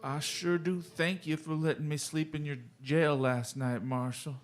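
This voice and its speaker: High-voiced simple drifter, played by a man. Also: steady tone, faint music behind, natural voice. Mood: meek, friendly. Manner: halting, folksy, guileless. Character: simple, meek, good-natured male drifter in old West. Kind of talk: Western radio drama acting.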